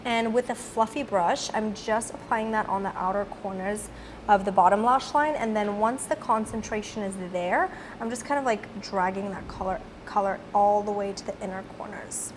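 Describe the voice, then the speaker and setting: Slightly raspy woman chatting through a makeup tutorial. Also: medium pitch, moderate pace, background noise. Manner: chatty, filler-heavy, explaining each step. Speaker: beauty vlogger. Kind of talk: casual makeup tutorial narration.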